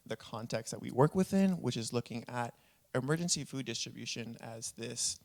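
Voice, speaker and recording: A man presents at a conference in a conversational, earnest manner. His voice sits at a middling pitch with a good deal of gravelly fry. He comes across as humble, like a panelist sharing what he has learned. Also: lively intonation, normal speed. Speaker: food rescue nonprofit speaker, panelist.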